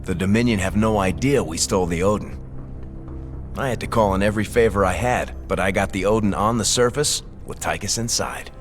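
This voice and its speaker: Man playing an earnest, low-voiced ship's officer. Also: natural voice. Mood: resolute. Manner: serious, formal, measured. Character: earnest, dutiful second-in-command officer of rebel ship. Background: game music.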